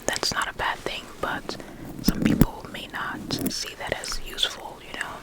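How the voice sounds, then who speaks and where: An ASMR performer speaking in a breathy, unvoiced whisper, slowly and soothingly, gently reassuring and repeating words softly, close to the microphone. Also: low-quality recording. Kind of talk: ASMR personal attention roleplay.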